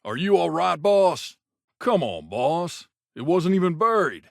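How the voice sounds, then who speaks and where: An actor playing a loyal, wry gunslinger companion in a video game, talking casually and familiarly. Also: male voice, fairly high pitch, big pitch swings, measured pace, creaky, no echo, studio quality, exaggerated voice. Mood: startled, exasperated. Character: loyal wasteland gunslinger companion man, wry, devoted to his mother.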